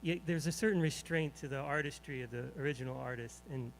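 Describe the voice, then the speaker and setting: A man's voice: mid-range voice, quick pace, slight background noise, rough recording. A man in the audience asking a long question, searching for words and restarting often.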